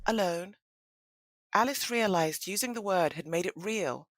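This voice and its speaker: A woman narrating a scripted audio play in an expressive, dramatic storytelling manner. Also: medium pitch, unhurried, no echo, clean studio sound.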